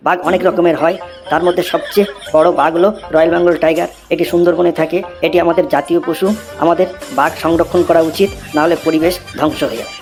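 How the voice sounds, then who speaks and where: A man's voice: fairly high pitch, little pitch movement, fast talker, darkish tone, soft background music, close to an everyday voice. A man voicing a cheeky, unflappable schoolboy in a comedy cartoon, reciting essays by rote. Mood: earnest reciting.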